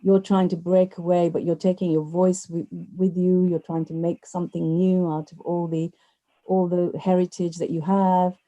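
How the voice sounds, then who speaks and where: A woman actress talks through an interview in a chatty way, her speech full of "you know" fillers. Her voice sits fairly low and sounds mellow and soft-edged. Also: flat delivery, normal speed, muffled recording. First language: not Urdu. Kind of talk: conversational interview.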